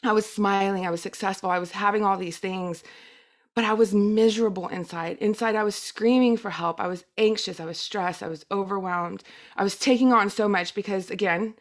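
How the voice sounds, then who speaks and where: A woman's voice: mid-range voice, steady tone, brisk. Middle-aged female guest speaker, first language Spanish, mid-range voice, earnest and emphatic personal storytelling. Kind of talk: personal testimonial talk.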